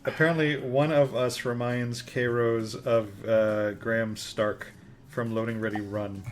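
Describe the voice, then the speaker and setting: A young man with a Californian accent chats on a live stream, rambling and opinionated and swearing freely. His pitch is mid-range, and game music plays clearly behind him. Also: level tone, a little slow. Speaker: streamer co-host. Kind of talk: casual live-stream chat.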